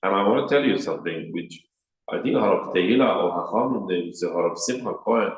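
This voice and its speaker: A man's voice: low-pitched, expressive, fairly quick, echoey room, poor audio. A man with a Persian accent giving a workshop lecture on marriage as a rabbi, in plain short sentences, raising his voice to act out quoted speakers. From Iran.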